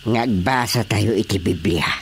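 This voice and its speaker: A woman playing an opinionated grumbler in a radio drama, with a Filipino accent and an emphatic, drawn-out delivery. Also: very deep voice. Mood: mocking. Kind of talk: Ilocano radio drama dialogue.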